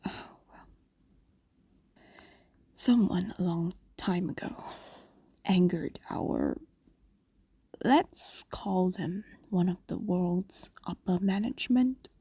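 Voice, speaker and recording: A woman's voice: on the low side, big pitch swings, slow pace, gravelly, long pauses, warm tone, slightly rough, dry recording, exaggerated voice. A woman playing a doting, possessive ancient fox spirit in an intimate fantasy roleplay, speaking in a soothing, coaxing whisper. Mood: wistful, gentle.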